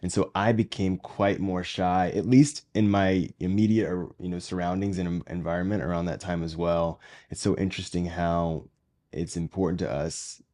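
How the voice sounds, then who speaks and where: A low, mellow man with a light Southern American accent, chatting as a podcast co-host. He talks in a reflective, easygoing way and his sentences often wander before they land. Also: fairly even intonation, moderate pace. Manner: reflective, conversational, trails off mid-sentence. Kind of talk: casual conversation.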